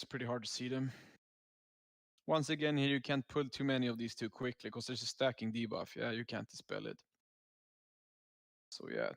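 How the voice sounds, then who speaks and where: A man's voice: medium pitch, creaky, a bit bright, dry recording, studio quality. A man giving casual, laid-back gameplay commentary as a raid leader, explaining tactics as he goes.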